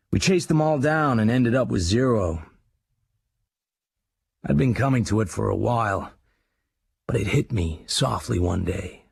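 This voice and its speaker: An actor playing a brooding American crime writer, narrating his life in terse, intimate radio drama monologue with a husky, gravelly voice. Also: mid-range voice, darkish tone, no echo. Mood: terse, cynical, then sombre, resolved. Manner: terse, hard-edged, intimate. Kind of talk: dramatised first-person scripted narration.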